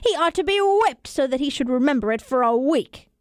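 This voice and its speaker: An old peasant grandmother from a storybook, played by a woman. Her voice is high and emphatic as she grumbles, yet she has a soft heart. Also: expressive, a little fast, no room sound, studio quality. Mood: scolding.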